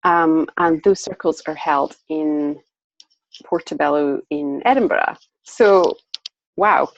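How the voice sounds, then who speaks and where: A woman's voice: low-pitched, vocal fry, a little mellow, no room sound, highs cut off. A woman hosting an online interview, introducing her guest in an enthusiastic way. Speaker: host and interviewer.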